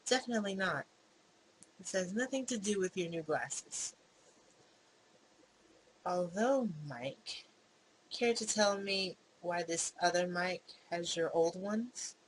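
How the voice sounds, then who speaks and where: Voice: medium pitch, slow pace, long pauses, natural voice, low-quality recording. A gamer voicing a suspicious young woman in a visual novel who asks pointed, testing questions. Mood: probing. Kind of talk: visual novel character reading. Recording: clear background noise.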